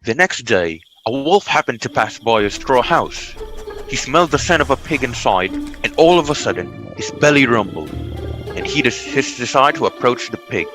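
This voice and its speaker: A man's voice: medium pitch, lively intonation, moderate pace. A man narrating a storybook tale from a script. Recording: music under the voice.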